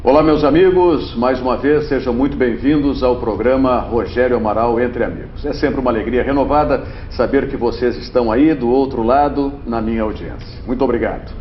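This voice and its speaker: A man's voice: medium pitch, a little fast, few pauses, faint music behind. A man hosting a TV interview show, speaking, chatty and full of tag questions. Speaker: TV host. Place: TV studio. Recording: roomy, dull TV audio.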